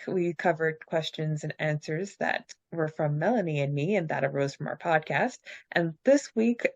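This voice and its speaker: A woman's voice: low-pitched, dry recording. A woman co-hosting a casual fan podcast, chatting and reading aloud with an enthusiastic manner. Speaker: podcast co-host. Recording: slightly dull, dry close recording.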